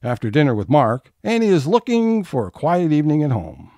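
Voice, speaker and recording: A man's voice: a little high, very animated, brisk, warm tone, dry recording, studio quality, faint music behind. A man playing a wry scene-setting narrator, reading scripted narration for a comedy audio play.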